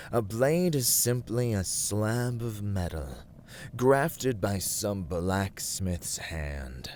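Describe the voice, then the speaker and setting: Man playing a slow, smug young villain with swooping intonation. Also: low voice, a little mellow, slight background noise. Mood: scornful. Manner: condescending, deliberate, formal, teasing. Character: smug, teasing young male villain, dungeon-keeping warlord. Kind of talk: ASMR roleplay, scripted dramatic monologue.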